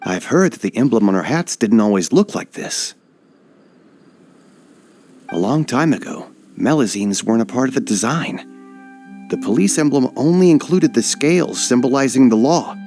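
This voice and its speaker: A man's voice: mid-range voice, expressive, normal speed, warm tone, soft background music, natural voice. An actor playing a male police guard in a video game, talking in a conversational storytelling way. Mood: reflective. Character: male city police guard telling an old rumor.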